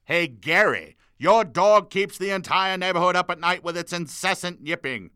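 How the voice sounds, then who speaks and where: A man's voice: high-pitched, moderate pace, sharp tone, clear, resonant tone, no room sound, studio quality. A man playing a pompous middle-aged wartime president with petty grievances, in a parody of a 1940s radio address. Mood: aggrieved, petty. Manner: formal broadcast oratory sliding into grumbling.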